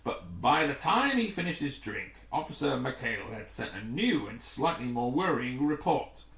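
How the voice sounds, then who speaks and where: A man's voice: medium pitch, very animated, moderate pace, fairly bright tone. A man reading an adventure story aloud as a narrator, occasionally stumbling over a word and correcting himself. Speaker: audiobook narrator. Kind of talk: scripted story narration with acted dialogue. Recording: echoey, roomy home recording.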